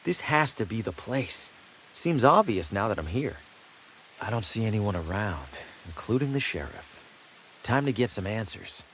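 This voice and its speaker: A man's voice: on the low side, very animated, moderate pace, fairly bright tone, a little hiss or hum. A man playing a wry, down-to-earth traveling salesman who thinks aloud in a dry, conversational way. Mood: puzzled, determined. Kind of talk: video game character acting, thinking aloud.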